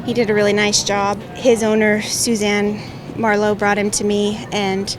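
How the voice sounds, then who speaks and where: A horse rider from Montana speaks matter-of-factly in a flat, even tone. She is recorded outdoors, with clear background noise and passing vehicles. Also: medium pitch, normal speed.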